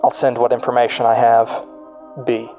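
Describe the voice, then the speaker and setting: A man's voice: medium pitch, monotone, moderate pace, mellow, dull audio, soft background music, natural voice. Man reading as anxious, confiding researcher. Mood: worried. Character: anxious male researcher secretly writing worried messages. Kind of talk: read-out in-story message.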